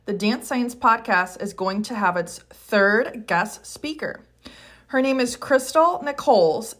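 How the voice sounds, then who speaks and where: A woman's voice: medium pitch, a bit bright. A woman hosting a podcast who reads a scripted welcome and then questions a guest, answering her with brief affirmations. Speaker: podcast host. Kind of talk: podcast interview.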